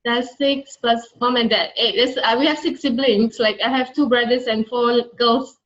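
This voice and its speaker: A woman's voice: a little high, fairly even intonation, moderate pace, a bit bright, muffled recording. A Singaporean woman being interviewed, talking conversationally and motivationally in an emphatic way that repeats key phrases. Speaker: interview guest, coach.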